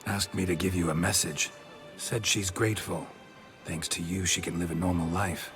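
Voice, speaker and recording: A man playing a stoic, weary monster hunter in a video game, gravelly and terse, with a deadpan, clipped delivery. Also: sits low, normal speed, lightly acted. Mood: calm, dry. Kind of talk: video game cutscene acting. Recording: background music under the voice.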